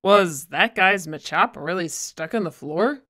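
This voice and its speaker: A woman as a bright-voiced, blunt teenage boy. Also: fairly low pitch, measured pace, voice pushed down. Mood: puzzled.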